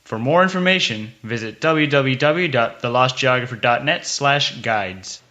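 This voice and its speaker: Bright-voiced male podcast host. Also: medium pitch, expressive, unhurried. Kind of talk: scripted promo and interview hosting. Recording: dull lo-fi podcast audio.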